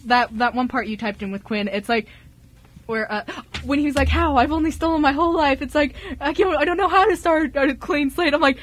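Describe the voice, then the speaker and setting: A young woman with a highish voice hosts a casual radio chat. She is animated and giggly, talking in a conversational way with friends. Some background noise sits behind her in the studio. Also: a little fast. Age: young adult. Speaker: radio show host and scriptwriter.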